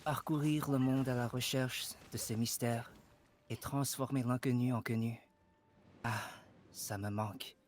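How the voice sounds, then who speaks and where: A man's voice: medium pitch, steady tone, normal speed. A man, a voice actor guest, chatting playfully in a casual group conversation.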